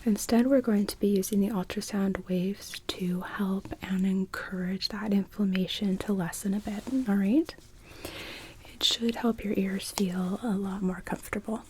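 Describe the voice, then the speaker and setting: A woman whispering in a breathy, gentle, explanatory way, as an audiologist in an ASMR medical roleplay. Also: medium pitch, fairly even intonation, measured pace, background noise. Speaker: ASMR performer playing an audiologist.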